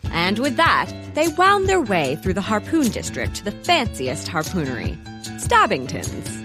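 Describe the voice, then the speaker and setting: A woman's voice: mid-range voice, wildly swooping pitch, unhurried, over music. A woman playing the dramatic narrator of a comedic audio drama, reading scripted narration.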